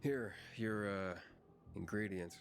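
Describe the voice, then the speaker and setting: A man's voice: lowish voice, takes it slowly, a little hiss or hum. A man acting a blunt, wry young survivor in a video game cutscene. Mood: sceptical. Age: young adult.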